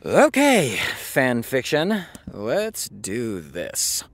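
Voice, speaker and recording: A wry, self-critical writer in an audio drama. His mid-pitched voice reads prose with brooding weight and mutters to himself. Faint music runs behind him. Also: huge pitch swings, extremely slow, creaky, exaggerated voice. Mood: reluctant, muttering. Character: wry, self-critical man reading fan fiction drafts aloud.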